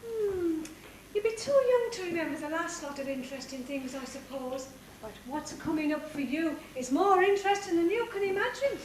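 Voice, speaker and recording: A woman's voice: extremely high pitch, animated, moderate pace. A woman playing a kindly, whimsical fairy godmother in a stage pantomime. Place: theatre stage. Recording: echoey hall from far off, faint noise.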